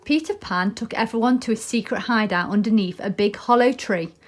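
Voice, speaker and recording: A woman's voice: mid-range voice, a little fast. A woman narrating a children's storybook expressively. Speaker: storybook reader.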